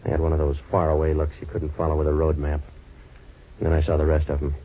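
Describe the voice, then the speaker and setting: An actor playing a wisecracking waterfront boat-renter turned private eye, narrating in hard-boiled first person. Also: male voice, very deep voice, completely flat delivery, quick pace. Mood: wry. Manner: dry, sardonic wisecracks. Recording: dull old radio recording with faint hiss.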